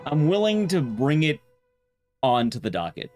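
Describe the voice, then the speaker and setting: A man's voice: on the high side, big pitch swings, normal speed, darkish tone, faint music behind. A man streaming a game, chatting casually in a rambling, blunt, conversational way with his viewers. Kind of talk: casual live-stream chat while gaming.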